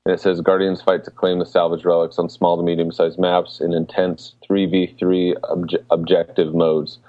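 A man with a low voice chats as a podcast co-host. He explains things informally, and the sound is thin and narrow, like a phone call. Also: little pitch movement, moderate pace, no echo. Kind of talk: casual podcast chat.

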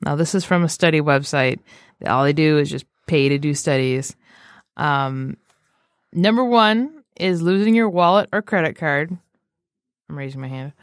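Woman, podcast host, slightly low pitch, dry close recording, casual animated storyteller. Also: normal speed, no echo. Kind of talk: casual chat and anecdotes.

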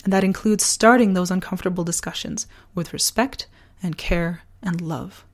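Low-pitched woman losing her voice. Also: normal speed. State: losing voice from a sore throat virus. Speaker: podcast host. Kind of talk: personal podcast, reflective essay and casual chat.

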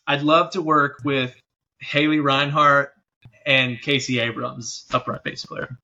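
A man's voice: medium pitch, flat delivery, slow, sharp tone. A man chatting casually as an interview guest, heard over slightly dull, lo-fi call audio. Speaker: interview guest, keyboard player. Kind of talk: casual chat.

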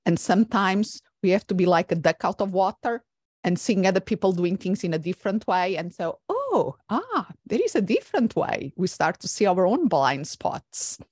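A woman from Portugal with a Portuguese accent, hosting a conversational interview in a reflective, halting way. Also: medium pitch, big pitch swings, a little mellow, husky, dry recording, clean studio sound. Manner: reflective, halting with filler words. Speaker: podcast host.

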